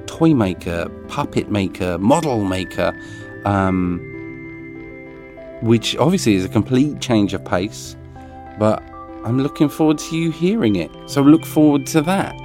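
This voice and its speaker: A man's voice: mid-range voice, expressive, moderate pace, a little mellow, faint music behind. A man with a crumpled, papery voice, closing his podcast in a casual, rambling, self-deprecating way. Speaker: podcast host.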